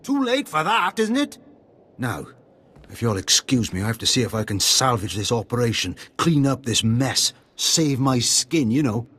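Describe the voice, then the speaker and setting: A man's voice: mid-range voice, big pitch swings, moderate pace, a bit bright, husky. An actor playing a self-serving, petulant male Imperial agent in a video game, huffy and clipped. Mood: curt, resigned. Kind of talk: video game cutscene acting.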